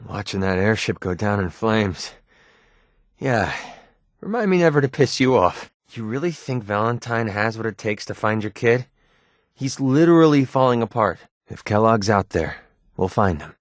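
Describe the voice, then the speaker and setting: Man playing a young mercenary, cocky, wary, sardonic, slightly deep voice, casual game banter, very dry studio sound. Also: lively intonation, normal speed, no room sound, natural voice. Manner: sardonic, casual, colloquial delivery. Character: wry young male mercenary, cocky, wary, protective father. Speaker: video game companion character. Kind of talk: video game voice acting, casual banter lines.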